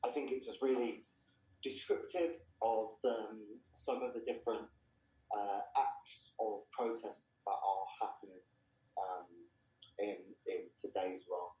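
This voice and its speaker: A man's voice: on the low side, steady tone, takes it slowly, mellow. A man presenting and reading literary fiction aloud as a storyteller. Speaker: reader introducing and reading a story. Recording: echoey room, distant microphone.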